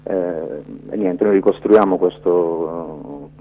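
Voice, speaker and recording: Through a narrow, muffled phone line comes a man with a deep voice. He is an interview guest, explaining things in unscripted speech. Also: takes it slowly, a little hiss or hum. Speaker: documentary filmmaker, interview guest.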